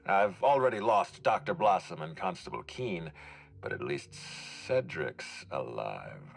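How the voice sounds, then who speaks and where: An actor playing a stern man of authority in a video game, with a dry, sarcastic, deliberate delivery. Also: deep voice, very animated, gravelly, exaggerated voice. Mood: bitter sarcasm. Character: stern, sardonic man of authority overseeing a murder inquiry. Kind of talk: video game character dialogue.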